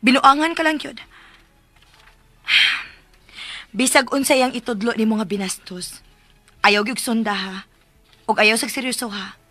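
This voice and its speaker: An actress with a Cebuano accent playing an exasperated young wife who scolds a meddling helper in a radio drama. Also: female voice, a little high, measured pace, pauses often, bright, forceful tone, no room sound, soft background music. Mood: reproachful.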